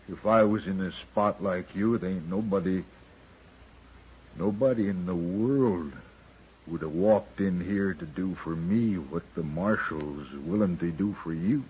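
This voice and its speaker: Slow, low-voiced rough western outlaw. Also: male voice, full character voice. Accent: American. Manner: drawling, ungrammatical, halting speech. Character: rough, uneducated male western outlaw, bewildered and friendless. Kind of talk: 1950s radio western acting. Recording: muffled old radio recording, faint noise.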